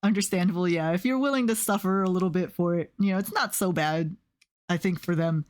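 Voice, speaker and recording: A young woman streaming and chatting casually, telling rambling stories with lots of fillers and soft laughs. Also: on the low side, fairly quick. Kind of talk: casual chat while drawing on stream.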